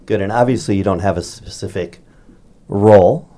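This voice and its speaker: Deep, mellow-voiced male interviewer. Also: normal speed. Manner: friendly, jokey questions with fillers. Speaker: school podcast host. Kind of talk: casual interview.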